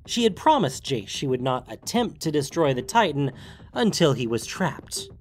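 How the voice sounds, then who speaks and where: Animated male story narrator. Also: on the high side, moderate pace, faint music behind. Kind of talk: dramatic scripted story narration.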